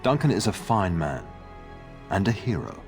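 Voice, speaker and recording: Casting: fatherly middle-aged lord, proud and genial, fantasy game, lowish voice with music beneath. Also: sing-song, normal speed, vocal fry, heavily acted. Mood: earnest, fatherly. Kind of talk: video game voice acting.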